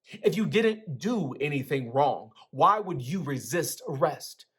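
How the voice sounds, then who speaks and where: Man playing exasperated dad, stern, emphatic, raised husky voice, storybook drama. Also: a little high, lively intonation, unhurried, full character voice. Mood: accusing. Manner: forceful, emphatic, interrogating. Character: stern, exasperated father lecturing his teenage son.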